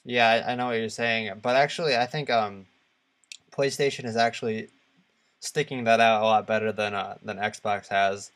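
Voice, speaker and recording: A man co-hosting a podcast, speaking casually and haltingly as he searches for his words. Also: on the low side, fairly even intonation, fairly bright tone, clear, resonant tone. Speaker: podcast co-host. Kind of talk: casual discussion of video games.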